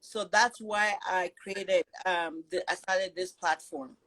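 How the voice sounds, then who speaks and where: A woman's voice: on the low side, fairly even intonation, slow pace, pushed and bright, poor audio. A middle-aged woman from Cameroon, a business founder talking earnestly and at length as a guest on a live video call. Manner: earnest, talkative, with restarts.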